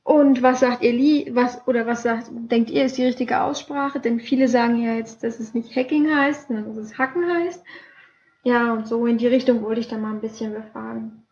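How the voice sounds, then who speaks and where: A woman's voice: on the high side, steady tone, normal speed, darkish tone. A young woman co-hosting a casual podcast, chatty and cheerful, with plenty of fillers. German is her first language. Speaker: podcast co-host. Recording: dull lo-fi home podcast recording.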